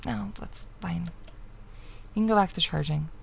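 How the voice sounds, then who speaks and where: A woman acting an anxious, awkward young woman in a visual novel, hesitant and halting. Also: fairly low pitch, vocal fry, breathy edge, full character voice. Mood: awkward, subdued.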